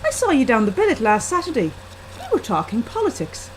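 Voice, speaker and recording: A woman's voice: on the high side, wildly swooping pitch, a little fast. A woman voicing a pathetic, insistent old man with an Irish accent, in a short story. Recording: faintly noisy laptop webcam microphone.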